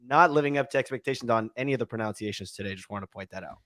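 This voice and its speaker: A man's voice: medium pitch, racing, a bit bright, dry recording. A man on a podcast panel making casual, teasing remarks.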